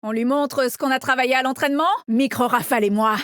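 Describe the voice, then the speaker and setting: A woman's voice: high-pitched, fairly even intonation, quick pace, fairly bright tone, smooth, dry recording, clean studio sound. An actress playing a cocky, teasing female squad leader in a video game, with a confident, brash and punchy delivery. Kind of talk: video game character voice lines.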